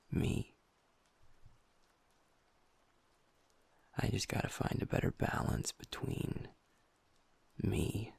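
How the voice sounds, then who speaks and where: A man playing a gravelly, whispery werewolf boyfriend. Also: lowish voice, full character voice. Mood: earnest, resolute. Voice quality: soft, breathy, half-whispered. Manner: earnest, affectionate, talks to the listener as partner. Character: happy-go-lucky young male werewolf boyfriend, pack beta. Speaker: voice actor in audio roleplay. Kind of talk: intimate audio roleplay.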